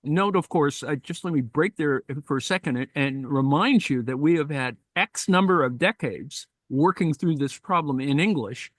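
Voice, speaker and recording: A man reading a text aloud in a live-streamed discussion and commenting on it, thoughtful and halting, with many fillers and asides. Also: on the high side, no room sound.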